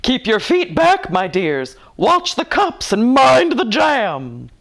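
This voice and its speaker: A woman's voice: on the high side, huge pitch swings, slow, gravelly, voice pushed up, exaggerated voice. A woman voicing a merry old uncle in a storybook, gushing and chuckling as he talks. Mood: gushing, welcoming. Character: jolly, giggly old uncle floating with laughter.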